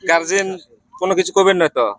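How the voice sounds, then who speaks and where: A man's voice: high voice, little pitch movement, very fast, a bit bright, dry recording. A man with a chatting casually as co-host of a video. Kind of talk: casual chat.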